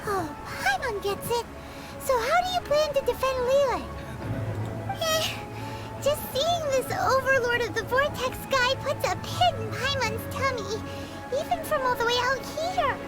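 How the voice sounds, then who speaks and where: A woman voicing a tiny, excitable, nervous childlike girl companion in a video game, with a squeaky voice. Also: a little slow. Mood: curious, nervous. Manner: refers to self in third person, exclamatory. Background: loud game music.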